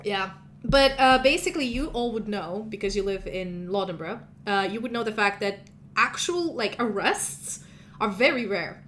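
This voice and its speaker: A woman's voice: mid-range voice, expressive, moderate pace, a bit bright, slight background noise. A woman whose first language is Russian, narrating as game master in a rambling, chatty way, often correcting herself. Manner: chatty, rambling, self-correcting, laughs at own slips.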